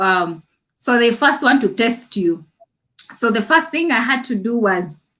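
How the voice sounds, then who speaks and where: Slow-talking woman from Uganda, laughing often. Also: fairly high pitch, fairly bright tone. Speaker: livestream co-host with her own channel. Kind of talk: casual chat about personal experience.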